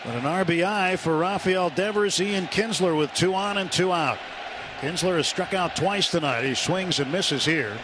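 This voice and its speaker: A radio play-by-play man with a fairly high voice calls a baseball game. His phrasing is clipped and descriptive, ready to lift for big plays, and clear stadium noise sits behind him. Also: moderate pace. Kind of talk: live baseball play-by-play commentary. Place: ballpark broadcast booth. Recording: radio broadcast with loud ballpark crowd noise.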